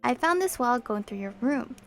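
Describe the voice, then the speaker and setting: A woman's voice: on the high side, expressive, brisk, vocal fry, soft background music. A cold, commanding middle-aged mother, put on by a woman reading a visual novel aloud. She lays down orders in a rigid, unbending way. Her fury stays tightly held under the surface. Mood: stern.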